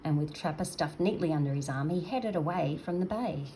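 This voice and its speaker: A woman's voice: low voice, brisk, warm tone, rough recording. An older woman storyteller reading a children's story aloud expressively, in a grandmotherly manner. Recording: noticeable background noise.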